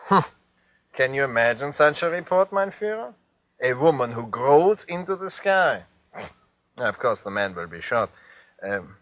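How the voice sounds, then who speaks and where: Male actor as excitable Nazi officer, theatrical and incredulous, mid-range voice, dull dry vintage radio. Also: mid-range voice, moderate pace, long pauses, no room sound, lightly acted. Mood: mocking, incredulous. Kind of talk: 1940s radio drama acting.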